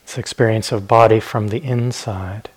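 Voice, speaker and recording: A man teaching guided meditation in a gentle, unhurried manner, leaving long pauses between phrases. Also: lowish voice, fairly even intonation, slightly rough.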